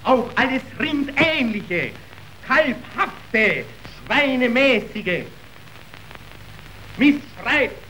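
A man's voice: on the high side, a bit bright, slight background noise, heavily acted, rough recording. A man acting an impassioned messenger in a radio drama, declaiming verse in a theatrical manner that moves between grave and frenzied. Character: impassioned male messenger reporting a stock market collapse.

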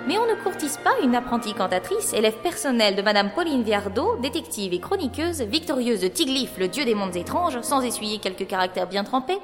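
Woman acting high-voiced, coquettish young opera apprentice. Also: sing-song, fairly quick, hardly any pauses. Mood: wry, proud. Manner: coquettish, commanding, formal period speech. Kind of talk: French audio drama acting. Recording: long reverb, music behind.

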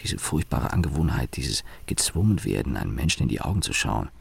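Very deep sardonic inner voice. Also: male voice, very animated, brisk, vocal fry, slightly rough, heavily acted. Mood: dry, observant. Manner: dry, sardonic, clipped observations. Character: sardonic male narrator, inner voice of an employee. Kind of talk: radio play narration, present-tense monologue.

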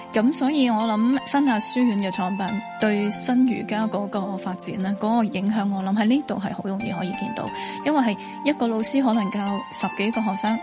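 A woman interviewee lays out her reasoning calmly and thoughtfully, one point after another. Clear music runs underneath her speech. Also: medium pitch. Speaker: interviewee, commentator. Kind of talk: interview explanation.

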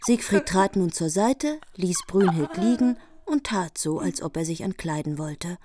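A woman's voice: fairly low pitch, animated, measured pace, warm tone, slight background noise. A woman reading scripted narration for a radio play of an old legend.

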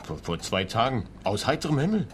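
A man's voice: mid-range voice, sing-song, fairly quick, slight background noise, close to an everyday voice. An actor playing an earnest, worried man who is a detective's client in a radio drama, speaking a little hesitantly. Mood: worried, puzzled. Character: earnest, worried male client, frugal ordinary working man.

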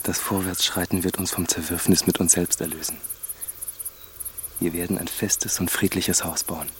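Guy playing brooding farmer in radio play, really deep flat voice, resolute, faint outdoor noise. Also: normal speed, lightly acted. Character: resolute, brooding farmer dreaming of a new life. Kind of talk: literary radio drama acting.

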